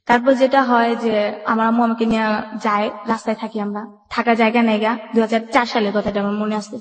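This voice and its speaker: A woman's voice: fairly high pitch, level tone, brisk. A young woman with a colloquial Bangladeshi accent telling a personal story as a radio guest, in run-on everyday speech, recorded with an echoey reverb. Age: young adult. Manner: colloquial, run-on recounting with quoted dialogue. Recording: echoey room with long reverb.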